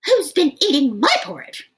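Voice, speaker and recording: A woman voicing an indignant mama rhino who shouts. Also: sits high, huge pitch swings, moderate pace, noisy background, voice pushed up.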